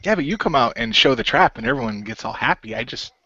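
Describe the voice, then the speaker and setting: An awkward, self-deprecating parapsychologist played by a man in an improvised radio play. His pitch moves around a lot as he speaks in halting, wry phrases, slightly muffled. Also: animated, pushed and bright, husky, no room sound. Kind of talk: improvised role-play dialogue. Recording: slightly dull voice chat audio.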